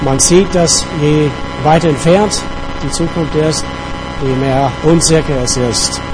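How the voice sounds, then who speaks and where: A man preaching to his congregation while fighting a cold, earnest and conversational, pausing now and then. His voice sits a little high for a man. Music plays clearly behind him. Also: low-quality recording. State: has a cold. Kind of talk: sermon. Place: church.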